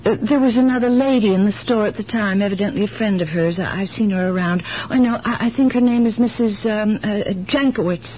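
An actress playing a kindly, wistful older aunt in a radio drama, speaking earnestly and haltingly with compassion. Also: female voice, medium pitch, lightly acted. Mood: hesitant recollection. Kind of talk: 1970s radio drama acting. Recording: muffled, noisy, humming old radio recording.

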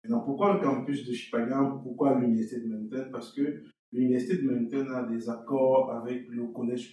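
A man's voice: medium pitch, mellow. A young man from Cameroon, a podcast guest telling his story in an earnest way and repeating words as he thinks. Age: young adult. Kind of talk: conversational interview. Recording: echoey distant room sound.

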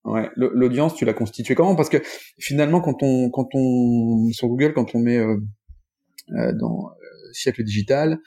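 A man hosting a casual interview, asking his questions in a halting, conversational way. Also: medium pitch, warm tone. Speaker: interviewer, host.

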